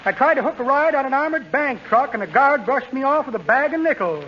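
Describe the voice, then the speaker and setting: A man's voice: extremely high pitch, expressive, fairly quick. An actor playing a pompous, blustering con man with florid, theatrical delivery in 1940s radio comedy. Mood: jovially pompous. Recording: noisy, muffled 1940s radio recording.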